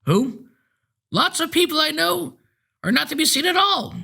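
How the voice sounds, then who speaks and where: A man's voice: very high voice, lively intonation, moderate pace, creaky, sharp tone, voice pushed up, heavily acted. A man reading a story aloud, voicing a frail, cranky eighty-year-old man with a thin, piping voice. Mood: grumbling.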